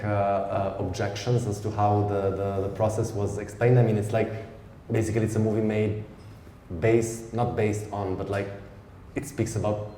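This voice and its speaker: Hesitant Italian-accented man, mellow voice. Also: on the low side, a little hiss or hum. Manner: hesitant, many restarts, reflective. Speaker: writer and translator, interviewer. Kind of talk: conversational public Q&A. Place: lecture hall. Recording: echoey hall with faint ambience.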